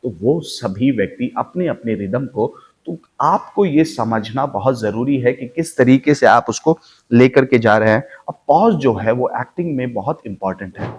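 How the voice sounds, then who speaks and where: A man's voice: medium pitch, a little mellow, slightly rough. A man teaching an acting lesson, explaining step by step with emphasis and repetition of key points. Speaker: acting coach. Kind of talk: instructional acting lesson with spoken demonstrations.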